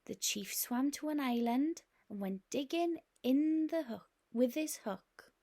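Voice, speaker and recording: A woman reading a rhyming picture book aloud, gently and expressively. Her voice sits a little high, and she takes a slow, unhurried pace. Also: animated. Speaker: nursery teacher.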